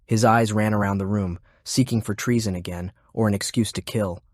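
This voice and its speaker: A man's voice: on the low side, fairly even intonation, fairly quick, darkish tone, no echo. A man reading scripted fiction as an audiobook narrator, close to the microphone.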